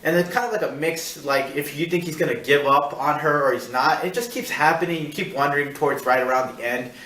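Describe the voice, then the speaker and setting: A man hosting a casual film review, talking in a rambling, halting way and repeating himself as he searches for words. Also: on the high side, pushed and bright. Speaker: film review show host. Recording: echoey room, distant mic.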